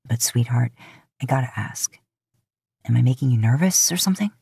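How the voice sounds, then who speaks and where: A woman's voice: very deep voice, animated, normal speed, gravelly, dark tone, husky, no echo, clean studio sound, voice pushed down, full character voice. A woman voicing a flirtatious, easygoing man in his mid-twenties with a casual, teasing drawl, in an audio fan fiction.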